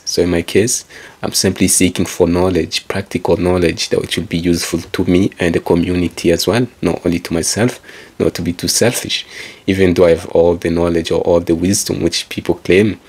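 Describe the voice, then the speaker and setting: A man speaking in English, his first language being Kikongo, delivers a meandering motivational talk. His voice is very low and full of vocal fry. It has a husky, rough grain. He keeps circling back to the same phrases. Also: flat delivery, a little fast, darkish tone. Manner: calls out to listeners like a presenter. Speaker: author and speaker. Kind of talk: motivational monologue.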